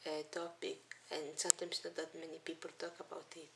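Teen girl, Italian accent, hesitant webcam vlogger, lowish voice, faint room hiss. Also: completely flat delivery, brisk, vocal fry. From Italy. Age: teens. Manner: hesitant, many ums, soft-spoken. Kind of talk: casual intro vlog to webcam. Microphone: webcam at arm's length.

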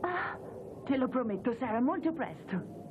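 A woman's voice: high voice, big pitch swings, measured pace, background noise. An actress playing a big, good-natured young foreign woman athlete in a dubbed anime, speaking sincerely. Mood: warm, earnest. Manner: sincere, drops in English phrases. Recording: narrow tinny sound, music behind.

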